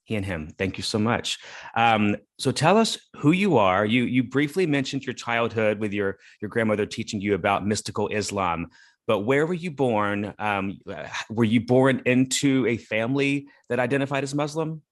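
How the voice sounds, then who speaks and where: A man's voice: medium pitch, animated, moderate pace. A man hosting an interview podcast, asking questions in a friendly, earnest way with frequent fillers. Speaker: podcast host. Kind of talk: interview conversation.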